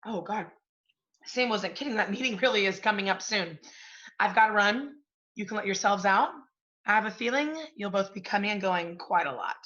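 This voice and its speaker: A woman's voice: mid-range voice, moderate pace, sharp tone, dull audio, lightly acted. A woman playing a brash, scheming, profane literary agency boss in a stage play. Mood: giddy. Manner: pushy, profane, salesy. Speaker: stage actor. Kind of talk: theatrical one-act stage play acting.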